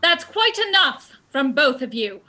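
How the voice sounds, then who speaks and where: A woman's voice: sits high, very animated, unhurried, pushed and bright, exaggerated voice. A woman playing a commanding, insistent police inspector in a comic radio play. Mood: stern. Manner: authoritative, insistent. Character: brisk, commanding police inspector questioning suspects.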